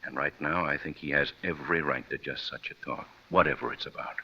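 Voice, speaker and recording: An actor playing a stern, shrewd prison warden with hidden compassion, speaking formally and deliberately in mid-century radio drama style. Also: male voice, very deep voice, husky, full character voice. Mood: quietly compassionate. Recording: dull old radio recording.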